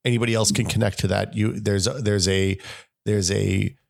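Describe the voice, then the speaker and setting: A man's voice: on the low side, steady tone, moderate pace, gravelly. A man co-hosting a casual tech podcast, sounding scratchy from a summer cold, rambling with many restarts and fillers. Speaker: podcast co-host.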